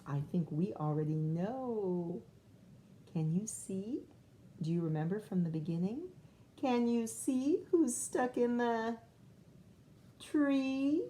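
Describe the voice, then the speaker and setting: A woman teacher reading a picture book aloud to young children, pausing to ask questions and explain words. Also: medium pitch, dark tone, slight background noise. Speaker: first grade teacher. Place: classroom or home, recorded for video.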